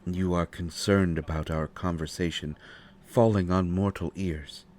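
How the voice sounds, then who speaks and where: Man voicing a very deep, slow ancient vampire. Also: steady tone, darkish tone. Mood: knowing, intrigued. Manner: formal, measured, deliberate phrasing. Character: ancient, courteous, knowing male vampire speaking softly and gravely. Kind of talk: scripted immersive audio roleplay. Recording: roomy echo, faint music behind. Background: faint music.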